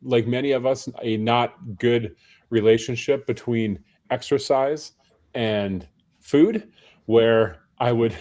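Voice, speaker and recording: A tired, hoarse man speaking as a panel guest, chatting in a friendly, self-deprecating way with frequent restarts. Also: mid-range voice, slow, a little mellow. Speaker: panel guest, personal trainer. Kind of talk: casual panel conversation.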